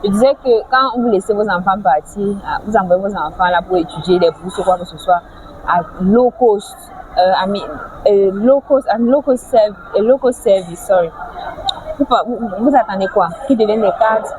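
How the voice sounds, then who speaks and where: A woman's voice: medium pitch, normal speed, a little mellow, rough recording. Woman vlogger, lecturing over traffic noise. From French-speaking Africa. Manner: lecturing, rhetorical questions, many restarts. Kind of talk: casual advice vlog. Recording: clear background noise, vehicles.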